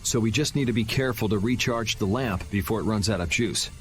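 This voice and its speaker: A man's voice: lowish voice, a little fast, close to an everyday voice. A man voicing a cool, independent young rebel swordsman in a video game, laid-back and wry. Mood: cool, curious.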